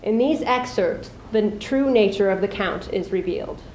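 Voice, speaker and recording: A teenage girl, a high school student, gives a deliberate, suspenseful dramatic reading of prose. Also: a little mellow, a little hiss or hum. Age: teens. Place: live school showcase before an audience. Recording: echoey live room recording.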